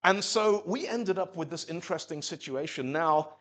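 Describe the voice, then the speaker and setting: A man's voice: a little high, moderate pace. A man from Zimbabwe giving a live technical talk at a meetup, telling stories and acting out quotes in little voices. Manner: animated storytelling, acts out quotes in voices. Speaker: conference speaker.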